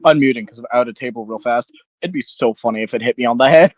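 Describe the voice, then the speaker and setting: A man's voice: mid-range voice, quick pace. A man chatting casually and jokingly as a player in a tabletop game.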